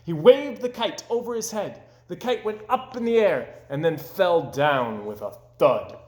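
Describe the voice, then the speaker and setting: Man, children's read-aloud, slightly high voice, animated delivery, roomy echo. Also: measured pace. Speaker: storybook reader.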